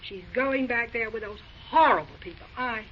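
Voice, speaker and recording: A woman's voice: a little high, moderate pace, natural voice. An actress playing a snobbish, melodramatic middle-aged mother in an old radio drama, on a dull, faintly noisy recording. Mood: outraged. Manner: haughty, theatrical. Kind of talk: 1940s radio drama acting.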